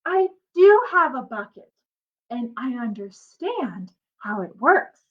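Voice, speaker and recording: A woman voicing an excitable, kind-hearted young schoolboy in a children's storybook, with bright, eager delivery. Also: on the high side, takes it slowly. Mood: excited. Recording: dull lo-fi sound.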